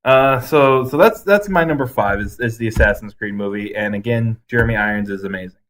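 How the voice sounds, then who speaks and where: A man's voice: on the low side, fairly quick, a bit bright. A man co-hosting a podcast, giving blunt, emphatic opinions in casual chat with some swearing. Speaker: podcast co-host. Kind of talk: casual ranting movie review chat.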